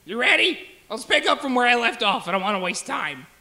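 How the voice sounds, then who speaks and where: Guy doing a dim eager wannabe actor, super high bright voice, rambly comedy bit. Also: sing-song, brisk, full character voice. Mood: eager, rambling. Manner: rambling, dim-witted, hesitant. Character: clueless, eager, oddball amateur auditioning actor, young man. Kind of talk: audio comedy sketch acting.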